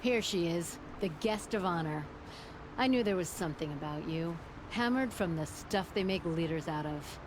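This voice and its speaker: A low-pitched actress as a proud forgewoman in a video game, confident and flirtatious. She talks in a wry, teasing way, with clear ambient noise behind her. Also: lively intonation, normal speed, close to an everyday voice. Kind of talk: video game dialogue acting.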